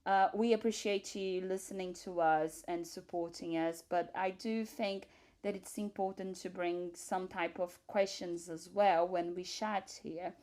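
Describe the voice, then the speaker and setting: A woman's voice: on the low side, steady tone, a little slow, a little mellow. Woman hosting, hesitant speech. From Brazil. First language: Portuguese. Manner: hesitant, with frequent ums and restarts. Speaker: podcast host. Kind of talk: conversational interview.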